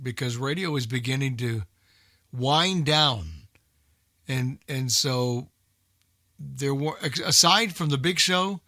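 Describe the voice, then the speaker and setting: A man's voice: mid-range voice, bright, forceful tone, dry recording, studio quality. An older man chatting casually about old-time radio as a host and collector.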